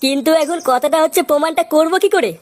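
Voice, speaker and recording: An actor voicing a level-headed young village man in a comic cartoon, scheming and reasoning in slangy talk, with a pitched-up voice. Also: fast talker. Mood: determined pondering. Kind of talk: cartoon dialogue.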